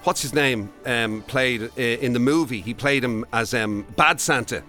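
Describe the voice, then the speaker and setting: A man's voice: mid-range voice, a little slow, pushed and bright, faint music behind. A man with an Irish accent co-hosting a radio show in casual, jokey banter. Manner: jokey, prone to chuckling. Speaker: radio co-host.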